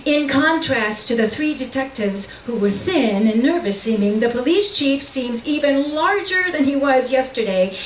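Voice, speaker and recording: A woman reading literary fiction aloud at a live reading, with measured, deliberate delivery and dramatic pauses. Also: on the high side. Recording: roomy, distant mic with faint noise.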